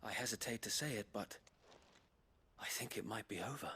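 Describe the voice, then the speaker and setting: A man's voice: fairly low pitch, normal speed, sharp tone, slightly rough, exaggerated voice. A man voicing a loyal knight in a game, formal, earnest and deferential. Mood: weary relief. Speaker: video game character. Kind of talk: dramatic game voice acting. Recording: faint game music behind. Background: game music.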